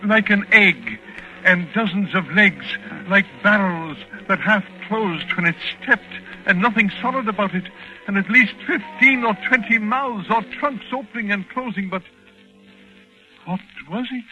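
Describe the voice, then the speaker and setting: Horrified eyewitness, high-pitched, halting, vintage radio drama, band-limited 1940s sound. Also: male voice, pushed and bright, highs cut off, exaggerated voice. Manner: halting, overwrought. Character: horror-stricken male eyewitness reporting a monster, awed and shaken. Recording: band-limited 1945 radio recording, music.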